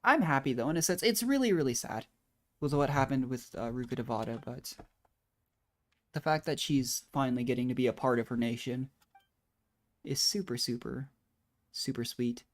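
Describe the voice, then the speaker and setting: A woman giving relaxed, musing, casual commentary as she streams a game. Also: a bass voice, moderate pace, pauses often. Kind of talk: casual reactive gameplay commentary. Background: game music and dialogue.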